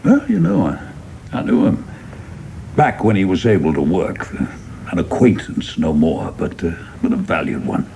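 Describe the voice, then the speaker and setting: An actor in a radio play playing a seasoned, humane government official, questioning deliberately with gentle scorn and pauses. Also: male voice, low-pitched, huge pitch swings, gravelly, slight background noise, exaggerated voice. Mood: quietly pointed.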